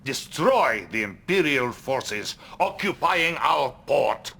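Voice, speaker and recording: A man playing a smooth, scheming Soviet premier addressing his commander. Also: medium pitch, sing-song, takes it slowly, pushed and bright, slight background noise, heavily acted. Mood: cool.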